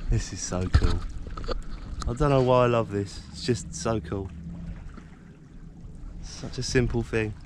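A man's voice: mid-range voice, a little slow, pauses often. A middle-aged man from England narrates in an easy, cheerful way, chuckling often. He is outdoors on a small sailboat, recorded on an action camera with open air around him and a faint hiss of wind and water behind. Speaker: vlogger sailing a dinghy. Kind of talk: casual running commentary. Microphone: action camera on the boat.

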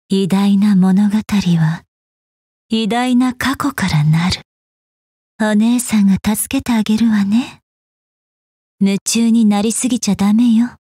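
A serene, mysterious fortune-teller from a video game. Her voice is very breathy and soft, with a dark, velvety tone. She speaks slowly and elegantly, very close and dry. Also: medium pitch, no echo. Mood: enigmatic, composed. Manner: slow, poised, mysterious. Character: serene, enigmatic fortune-telling woman, elegant keeper of memories. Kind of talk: scripted game voice lines.

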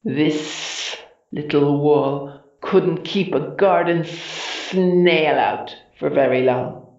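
Storybook monster queen, put on by a narrator: vain, sly and scornful, very slow drawn-out delivery with a gravelly creak. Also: medium pitch, darkish tone, reverberant, lo-fi sound. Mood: boastful, scornful. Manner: boastful, drawn-out, snorting and hissing. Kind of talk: children's storybook character voice.

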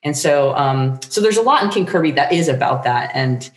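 A middle-aged woman talks passionately in a conversational interview style. Her voice carries clear room echo, as on a video call from home. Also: deep voice, expressive, normal speed. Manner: enthusiastic, passionate. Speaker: guest, playwright and teacher. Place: home room with bookshelves, indoors. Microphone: desk microphone on video call.

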